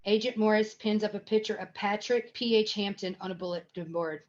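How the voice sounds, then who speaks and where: Female narrator for screenplay directions, medium pitch, scripted read, lo-fi online-call sound. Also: a little fast. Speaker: stage directions reader.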